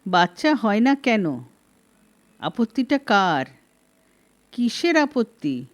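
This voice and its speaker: A woman's voice: on the high side, sing-song, slow pace. A woman voicing a scolding, exasperated middle-aged wife in a story, emphatic and reproachful. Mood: reproachful nagging. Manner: emphatic, reproachful, rhetorical questioning.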